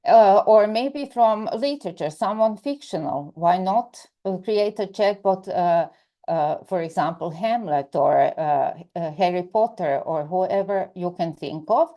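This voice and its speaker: A woman whose first language is Croatian, presenting in English with a Croatian accent, pausing often on filler sounds and restarting phrases, over a video call. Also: fairly low pitch, expressive, highs cut off. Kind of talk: online presentation.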